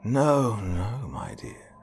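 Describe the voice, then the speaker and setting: A man's voice: deep voice, very animated, unhurried, a little hiss or hum, exaggerated voice. A man voicing a wicked male carnival artist who speaks in a mocking, stern and theatrical way.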